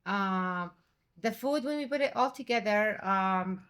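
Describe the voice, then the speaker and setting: Lively woman restaurant owner from Jordan. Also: medium pitch, unhurried, smooth. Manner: animated, quotes others with raised voice. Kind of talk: conversational interview.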